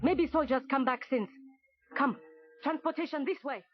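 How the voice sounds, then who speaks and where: A Vietnamese woman guide, played by an actress in a children's story tape, high in pitch and businesslike. Her English is clipped and broken, and the old cassette dulls the sound. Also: normal speed, soft background music, close to an everyday voice. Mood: brisk. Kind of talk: children's audio story acting. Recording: dull vintage cassette.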